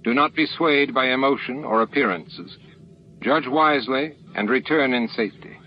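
A stern alien grandmaster, performed by a male narrator for a science fiction audiobook. He is formal and deliberate in his authority, pitched above the narration. The sound is a little dull. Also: close to an everyday voice. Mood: commanding.

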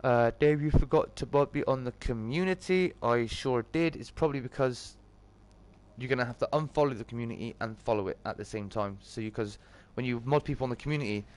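Slightly croaky British man chatting on stream. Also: mid-range voice, brisk. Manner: chummy greetings to viewers. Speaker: live streamer and host. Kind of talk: casual livestream chat with viewers.

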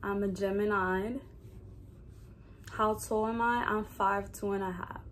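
Woman, early twenties, Dominican accent, native Spanish speaker, mid-pitched, casual chatty vlog style, indoor recording with faint noise. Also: fairly even intonation, unhurried. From Dominican Republic. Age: early 20s. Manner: chatty, informal, conversational asides. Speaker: vlogger. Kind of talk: casual get-to-know-me vlog talk to camera.

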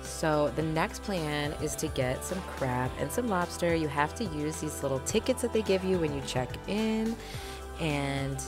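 A woman's voice: low voice, lively intonation, unhurried. A woman in her forties giving an upbeat, enthusiastic food review in a casual vlog style. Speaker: vlog host. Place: busy casino buffet restaurant, indoors. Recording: loud music and restaurant crowd noise. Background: loud music, crowd chatter.

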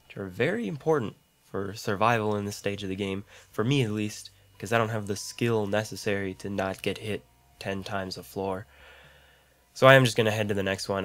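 A young man with a deep voice and a slightly rough throat, chatting casually through gameplay commentary into a condenser mic, rambling and self-deprecating, with audible mouth clicks. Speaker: gaming YouTuber. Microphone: large condenser studio mic.

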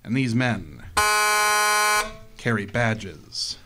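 An actor playing a solemn noir radio narrator, speaking in a deliberate, dramatic way. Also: male voice, on the low side, lively intonation, full character voice. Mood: solemn, suspenseful. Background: loud music.

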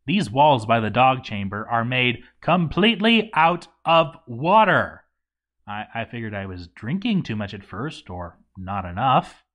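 Man reading game stories with very animated pitch. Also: a little slow. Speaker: let's-read narrator. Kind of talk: expressive scripted reading of a game story.

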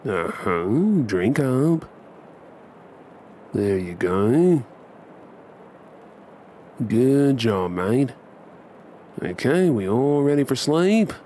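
An Australian man performing an ASMR bedtime roleplay as an affectionate, cheeky young husband and dad, coaxing and hushed. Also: medium pitch, sing-song, drawn-out words, pauses often, slight background noise. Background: ambient sound effects.

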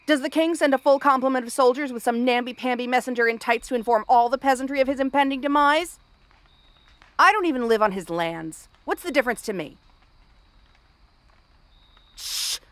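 Woman doing a cranky frog girl princess for fantasy asmr rp, highish voice, sarcastic n bratty, really dry close sound. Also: moderate pace, pauses often, a bit bright, no echo. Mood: indignant. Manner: snappish, sarcastic, tsundere tomboyish bluster. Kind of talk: fantasy ASMR roleplay acting.

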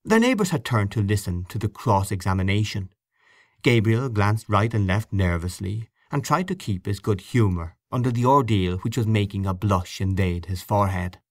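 Low-voiced male audiobook narrator. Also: no room sound, clean studio sound. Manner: measured, expressive storytelling.

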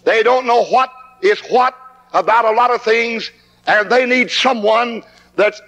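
A man's voice: very high-pitched, a little slow, a bit bright. A man preaching a 1960s camp meeting sermon, fervent and emphatic, recorded on old tape in a hall.

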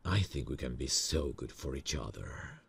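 A man playing a nervous, lovestruck boss in an intimate romantic ASMR roleplay, speaking hesitantly and tenderly in a husky voice. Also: expressive, heavily acted. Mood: tender, hopeful. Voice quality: very deep, gravelly, husky. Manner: hesitant, slow, soft-hearted. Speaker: voice actor in romantic audio roleplay.

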